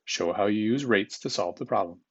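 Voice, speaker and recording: A man's voice: on the low side, fairly quick, husky, dull audio. A man speaking as a teacher, reading test questions aloud to students in an informal, instructive manner and repeating them.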